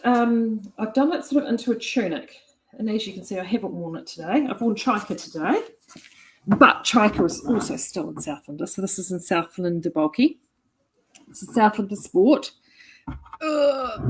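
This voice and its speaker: A middle-aged woman with a broad New Zealand accent chats to camera from her yarn shop. Her voice sits at an ordinary pitch with a husky, slightly breathy edge. She talks in an eager, rambling way, with lots of ums and restarts. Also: poor audio. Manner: chatty, enthusiastic, many fillers and restarts. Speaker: yarn shop owner and knitting vlogger. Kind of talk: casual chat to camera.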